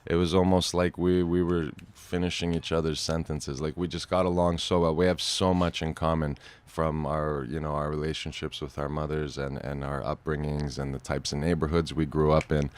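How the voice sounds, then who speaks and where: Deep-voiced male actor guest. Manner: animated storyteller, quick asides. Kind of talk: casual interview chat.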